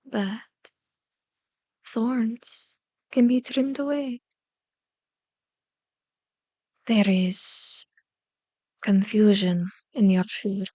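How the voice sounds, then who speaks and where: An ancient, powerful vampire woman, acted by a woman for an ASMR roleplay, speaks slowly and deliberately, savoring each taunt. She is seductive and menacing, teasing her prey in formal phrases. The sound is close and very dry. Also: mid-range voice, fairly even intonation, dark tone, dry recording. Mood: coaxing, hypnotic. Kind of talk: ASMR roleplay, scripted monologue. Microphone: close microphone, dry recording.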